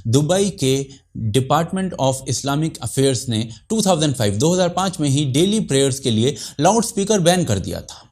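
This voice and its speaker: A man's voice: on the high side, very animated, moderate pace, few pauses, a little mellow. A man presenting a scripted explainer narration.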